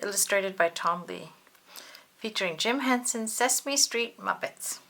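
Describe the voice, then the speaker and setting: A woman narrating a children's storybook aloud in an expressive way, made for acting out the characters. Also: mid-range voice, measured pace. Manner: animated, acts out character dialogue.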